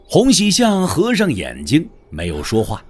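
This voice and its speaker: A man's voice: a little high, wildly swooping pitch, measured pace, a little mellow, breathy edge. A man narrating a scripted martial-arts audio drama as a dramatic storyteller.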